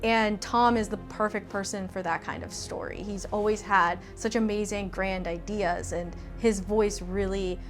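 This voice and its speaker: An American woman speaks at a medium pitch over a faint music bed. She comments in a promotional interview style as the creator of an audio drama. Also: fairly even intonation, a little slow, few pauses. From American Southwest.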